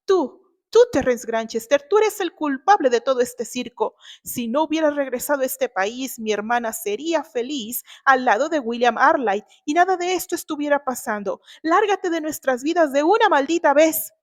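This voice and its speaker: A woman's voice: high voice, expressive, moderate pace, pitched above the performer's own voice. A woman voicing a brash, scheming young man in a dramatized novel reading, spiteful and accusing. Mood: hostile accusing. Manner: accusing, stammering when cornered.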